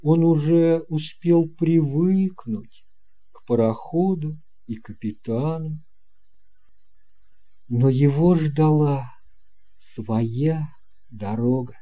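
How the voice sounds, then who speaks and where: A man narrating a children's tale as an audiobook reader, in a measured, expressive way. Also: fairly high pitch. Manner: slow, measured, expressive reading.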